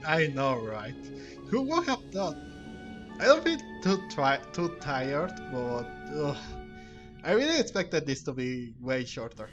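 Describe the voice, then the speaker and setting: Guy doing chill game commentary, talks slow and kinda hesitant, music in the back. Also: on the high side, big pitch swings, lo-fi sound. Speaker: gameplay video host.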